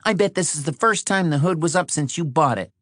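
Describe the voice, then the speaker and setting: A man voicing a frank, practical young woman in an audiobook, with a quick, matter-of-fact manner. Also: on the high side, lively intonation, a bit bright, dry recording, studio quality, voice pushed up.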